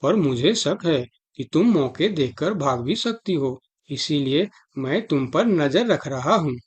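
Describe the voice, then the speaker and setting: A man's voice: fairly high pitch, expressive, unhurried, husky, exaggerated voice. A man voicing a dutiful, suspicious elephant guard in a Hindi children's cartoon, with a stern, questioning manner.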